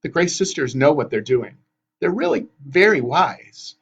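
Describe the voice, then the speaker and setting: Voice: mid-range voice, animated, a little slow, breathy edge, lo-fi sound, voice pushed up. A narrator voicing a bossy, insistent teenage girl with a matter-of-fact, urgent manner in a storybook reading. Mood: uneasy reassurance.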